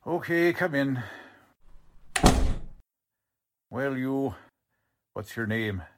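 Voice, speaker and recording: A man's voice: mid-range voice, lively intonation, takes it slowly, very noisy. A man playing a blunt, sarcastic police lieutenant in the style of a 1940s radio drama. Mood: blunt, suspicious. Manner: blunt, mocking, slangy.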